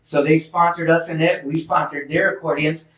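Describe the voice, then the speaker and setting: A man's voice: a little high, fairly even intonation, moderate pace, a bit bright. A man from Michigan, a musician guest, telling stories in a casual interview, chatty and laughing often. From Holland, Michigan. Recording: roomy sound, distant mic.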